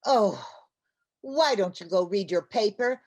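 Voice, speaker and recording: A woman's voice: medium pitch, lively intonation, slow, darkish tone. A woman playing a playful, mushy housewife in an old-time radio comedy, with a coaxing, theatrical delivery. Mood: wounded, romantic.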